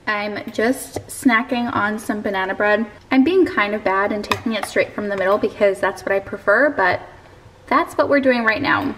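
Calm-voiced young woman vlogging at home. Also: medium pitch, echoey room, slight background noise, low-quality recording. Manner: calm, friendly and chatty. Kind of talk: casual food vlog chat. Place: home kitchen. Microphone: camera held at arm's length.

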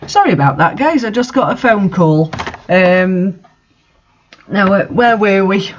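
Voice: mid-range voice, lively intonation, unhurried, muffled recording, slight background noise. A narrator reading fan fiction for comedy, breaking off for exasperated, mocking asides. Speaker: reader and commentator.

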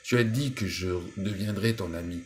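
A man voicing an ugly old toad in a storybook tale, with a hoarse, cracked voice. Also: sits low, moderate pace, slight background noise, voice pushed up, natural voice. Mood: insistent.

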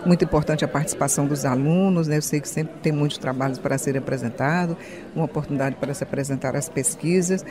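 A woman's voice: deep voice, fast. A woman with a Brazilian accent, a university rector, giving a spontaneous interview answer peppered with tag questions. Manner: frequent "né" tags. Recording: crowd chatter behind interview.

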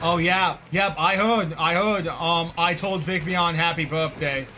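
Slow-talking man streaming a game. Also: on the high side, steady tone, pushed and bright. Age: 20s. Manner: rambling, repeats himself, bursts of frustration. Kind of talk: casual game commentary to chat. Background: game music.

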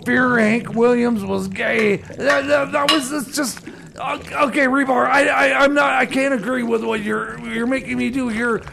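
Very high-pitched, stammering, anguished radio host. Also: male voice, fairly even intonation, creaky, background noise, exaggerated voice. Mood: anguished, pleading. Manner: halting, stammering, whiny. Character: flustered, anguished male radio show host in comedy sketch. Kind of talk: improvised comedy radio theater, back-announcing songs.

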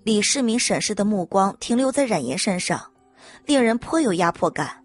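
A woman narrates a novel in a scripted storytelling style. Her voice sits at a middle pitch with a husky edge, and music plays faintly underneath. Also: sing-song, normal speed. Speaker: audiobook narrator.

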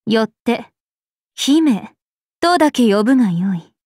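Casting: ageless regal game princess, haughty and composed, measured pace, slightly high voice. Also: female voice, animated, a little mellow, slightly rough, no echo, clean studio sound, exaggerated voice. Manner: imperious, archaic, composed, aloof. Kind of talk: Japanese mobile game character voice lines.

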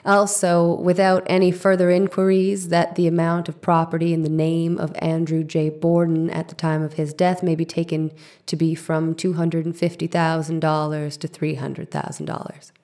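A woman playing a formal, deliberate trial lawyer in a dramatic reading of an old court case. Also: low-pitched, flat delivery, dark tone. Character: formal, probing trial lawyer cross-examining a witness.

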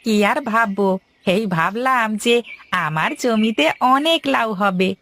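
A woman's voice: a little high, lively intonation, unhurried, fairly bright tone. A woman voicing a poor, naive young male farmer in a cartoon fairytale, earnest and dreamy. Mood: dreamy, hopeful. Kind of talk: animated moral fairytale dialogue. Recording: faint music behind the voice.

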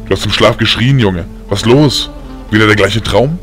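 A man's voice: lowish voice, normal speed, fairly bright tone, soft background music, pitched below the performer's own voice, natural voice. A man playing a weary, caring old grandfather. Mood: worried. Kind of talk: audiobook character acting.

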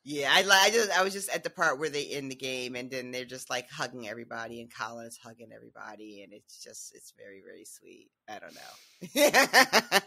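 A man co-hosting a podcast, rambling through a story with plenty of fillers and chuckles. His voice is bright and forward, at a middling pitch. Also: sing-song, fairly quick. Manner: rambling, full of fillers and laughs. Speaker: podcast co-host. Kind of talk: casual recap chat about a TV episode.

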